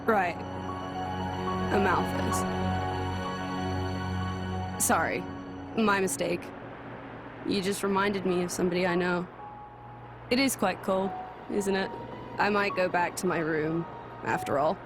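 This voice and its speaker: A woman's voice: on the low side, fairly even intonation, slow, long pauses, over music. A woman voicing a pensive, guarded young heroine in a video game, plain-spoken and reserved. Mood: guarded, subdued. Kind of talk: video game cutscene acting.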